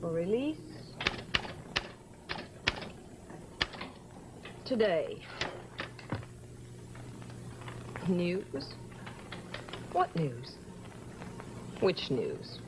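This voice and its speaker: An actress playing a wry, anxious theatre publicist in a dramatic, musing manner, in mid-century suspense style. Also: female voice, lowish voice, sing-song, drawn-out words, heavily acted. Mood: puzzled, flat. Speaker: theatrical press agent. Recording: vintage broadcast with background music and noise.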